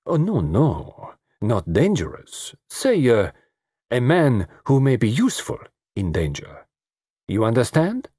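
A man voicing a gallant, shrewd middle-aged detective with a French accent, speaking in courteous, measured, formal phrases in an audiobook story. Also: mid-range voice, huge pitch swings, mellow, breathy edge, dry recording, studio quality, pitched above the performer's own voice, full character voice. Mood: gently teasing.

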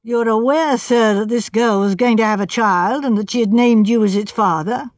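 A woman's voice: a little high, moderate pace, no echo, studio quality, close to an everyday voice. Woman voicing a cool, pressing police inspector. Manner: cool, pressing, matter-of-fact. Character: stern, shrewd male police inspector.

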